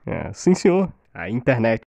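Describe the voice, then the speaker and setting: A man's voice: mid-range voice, very animated, normal speed, vocal fry, darkish tone. A young man playing a wisecracking, breezy superhero, casual and joking, in a fan dub of a video game. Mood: sheepish.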